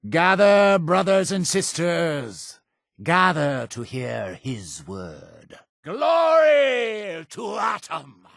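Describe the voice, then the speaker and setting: A zealous male cult leader for a video game. His delivery swings widely in pitch, grand and deliberate like preaching to the faithful. The voice is solemn and fanatical, recorded dry and close. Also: big pitch swings, gravelly, husky, dry recording, heavily acted. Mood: fanatical fury. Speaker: game character voice actor. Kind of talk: theatrical video game dialogue.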